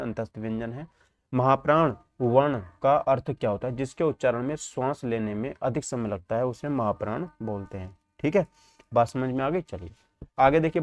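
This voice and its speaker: A man's voice: mid-range voice, darkish tone. A man teaching an exam lesson, posing questions and answering them himself, often checking that students follow.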